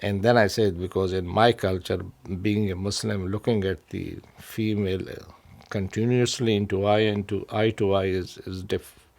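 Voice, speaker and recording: Middle-aged guy from pakistan, low gravelly voice, keeps restarting his sentences. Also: fairly even intonation, darkish tone. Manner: halting, many restarts and fillers. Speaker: interviewee. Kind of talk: personal story told to camera.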